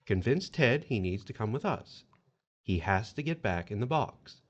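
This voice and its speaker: A man's voice: on the low side, expressive, moderate pace. Dull recording, male afterlife agent acted by a man, swooping intonation, persuasive, formal, reassuring, audio drama. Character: calm, persuasive afterlife agent collecting the dead.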